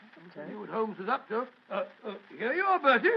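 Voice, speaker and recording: A man's voice: high voice, very animated, fairly quick, creaky, breathy edge, full character voice. An actor playing a kindly, flustered male doctor who stammers, in an old radio drama. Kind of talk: 1940s radio acting. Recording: dull old radio recording with faint hiss.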